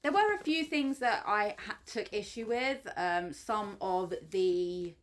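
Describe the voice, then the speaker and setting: A woman's voice: medium pitch, slow. A woman hosting a book channel, giving a gushing, chatty spoken review with frequent ums and likes. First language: English.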